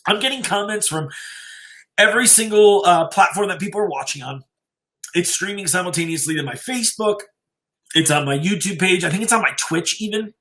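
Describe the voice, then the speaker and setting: Bright-voiced man chatting as livestream host. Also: high voice, fairly quick. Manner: informal, chatty, appreciative. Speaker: livestream host and story reader. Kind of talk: casual livestream chat.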